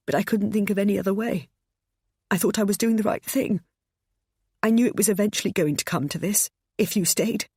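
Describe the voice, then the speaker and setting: A woman's voice: medium pitch, brisk, darkish tone, slightly rough, no room sound, clean studio sound, pitched above the performer's own voice, lightly acted. A woman voicing a desperate older mother who sobs and pleads as her voice breaks. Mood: sobbing. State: sobbing.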